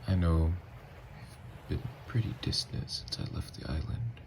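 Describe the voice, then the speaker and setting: A man playing a tormented, lovestruck young vampire in an intimate ASMR roleplay, speaking in a slow, halting, hushed murmur. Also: deep voice, lively intonation, gravelly, noisy background, heavily acted. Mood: tender, wistful. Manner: slow, halting, hushed confession with long pauses. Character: tormented, lovestruck newly turned male vampire, confessing tenderly. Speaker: ASMR voice actor.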